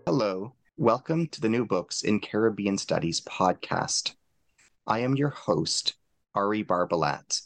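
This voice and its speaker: A man's voice: fairly low pitch, animated, measured pace, creaky, no echo. A man hosting a podcast, introducing his guests in a formal, ceremonious way. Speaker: podcast host.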